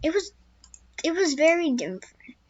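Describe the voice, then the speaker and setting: A woman voicing a young wild male horse, a skittish loner, telling his own story in first person with a squeaky voice. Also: big pitch swings, moderate pace, a little mellow, no room sound, lo-fi sound. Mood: wistful. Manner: first-person storytelling with restarts, turning frantic when scared. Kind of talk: amateur spoken story.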